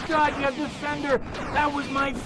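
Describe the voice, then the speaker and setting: A man playing an excitable, awestruck arcade fan who gushes in a sci-fi comedy audio drama. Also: very high voice, normal speed, over a noisy crowd, poor audio. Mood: awestruck, excited. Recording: loud music over voice.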